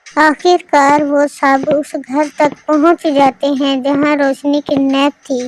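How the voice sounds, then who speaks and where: A woman's voice: high-pitched, little pitch movement, slow, poor audio. A woman narrating a cartoon story in a scripted storybook style, with music behind her.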